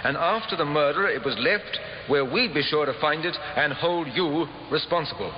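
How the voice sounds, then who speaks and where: An actor playing a businesslike police inspector in a radio drama, questioning formally and deliberately. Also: male voice, fairly high pitch, close to an everyday voice. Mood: measured, probing. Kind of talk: 1950s radio drama acting. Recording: dull, reverberant old radio recording, faint noise.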